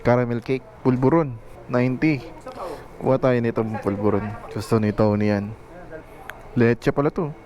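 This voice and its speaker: A man's voice: medium pitch, steady tone, moderate pace, pauses often, darkish tone, muffled recording. A Filipino man giving casual, chatty motovlog commentary, mixing English phrases into his talk. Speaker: motorcycle vlogger. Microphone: helmet intercom headset. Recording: clearly noisy outdoor intercom audio.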